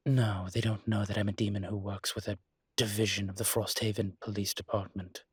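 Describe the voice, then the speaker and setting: A man playing a weary, guarded winged demon in an intimate roleplay audio drama, speaking in a husky, breathy voice with dry, halting phrasing. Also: lowish voice, little pitch movement, no echo.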